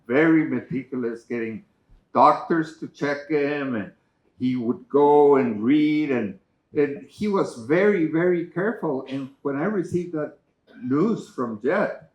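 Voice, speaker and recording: A man from Mexico with a Mexican Spanish accent who speaks Spanish as his first language, sharing a quiet, halting remembrance at a memorial. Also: mid-range voice. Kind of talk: personal remembrance. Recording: echoey room.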